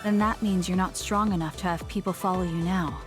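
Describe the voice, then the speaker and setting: A woman's voice: on the low side, fairly even intonation, a little fast, close to an everyday voice. An actress playing a composed, blunt but caring young female soldier in a video game. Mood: cool, challenging. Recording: music behind the voice.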